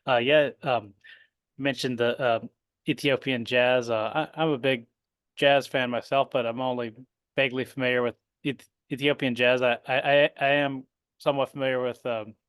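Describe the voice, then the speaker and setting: A male host introduces his guest and asks her questions, stumbling through uhs and restarts. His voice is smooth and clear, recorded dry and close. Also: medium pitch, fairly even intonation, moderate pace, a bit bright, no echo. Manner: hesitant, many uhs and restarts. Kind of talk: interview questions.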